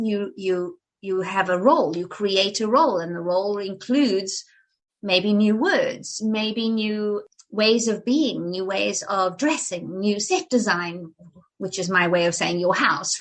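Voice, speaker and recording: A woman's voice: mid-range voice, fairly bright tone. An older woman from South Africa, with a polished, English-sounding South African accent, talking animatedly as a guest in an interview, theatrical and full of restarts. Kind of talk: conversational interview.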